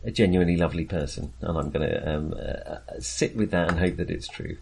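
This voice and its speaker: A man's voice: low voice, steady tone, fairly quick, vocal fry, a little mellow, slightly rough. A man co-hosting a film podcast, chatting casually in a hesitant, wandering way with self-amused asides.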